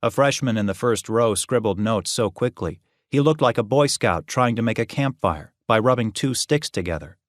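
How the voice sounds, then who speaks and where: A man reading a novel as an audiobook narrator, expressive and wry. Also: medium pitch, normal speed, hardly any pauses, dry recording, clean studio sound. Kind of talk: scripted fiction narration.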